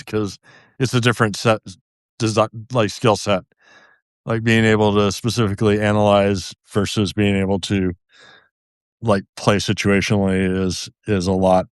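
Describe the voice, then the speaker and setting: A man's voice: lowish voice, clean tone, dry recording, studio quality. A man guesting on a podcast, chatting casually in a self-deprecating way and laughing often at himself. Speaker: podcast guest, game designer. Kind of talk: casual interview chat.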